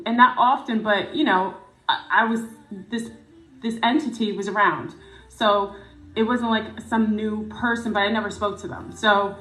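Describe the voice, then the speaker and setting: A woman's voice: medium pitch, a bit bright, reverberant, poor audio. A woman whose first language is French, telling a personal story hesitantly, with many fillers and restarts. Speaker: guest in a played clip. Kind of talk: casual storytelling.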